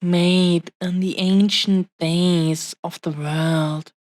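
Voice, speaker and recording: A woman's voice: lowish voice, fairly even intonation, darkish tone, dry recording. A woman voicing an affectionate, possessive dragon-woman fiancée in an intimate romantic audio roleplay, with tender, lingering delivery. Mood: insistent, reverent. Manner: tender, unhurried, pausing delivery. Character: affectionate, possessive young dragon-woman fiancée cherishing her beloved treasure.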